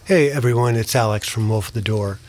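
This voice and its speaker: A man's voice: on the low side, a little fast, darkish tone. A man introducing a show as a casual podcast host.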